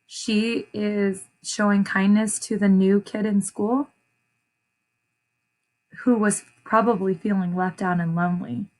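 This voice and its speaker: Slow-paced woman reading to children. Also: mid-range voice, low-quality recording. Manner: deliberate, expressive, explains and repeats phrases for young listeners. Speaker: teacher reading a picture book aloud. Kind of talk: children's read-aloud with short explanations.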